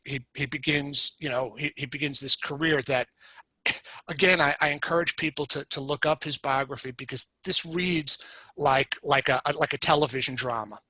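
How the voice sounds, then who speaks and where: A man from the United States, a podcast guest, explains history in a measured, step-by-step storytelling way. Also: mid-range voice, sharp tone, dry recording, poor audio.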